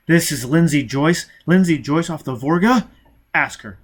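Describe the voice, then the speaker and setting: A man's voice: a little high, expressive, measured pace, pitched above the performer's own voice. A man voicing a ruthless, vengeful man who snaps orders with menace in an audiobook story. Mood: furious. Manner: barking orders, snapping, menacing. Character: ruthless, vengeful, furious man hunting his enemy.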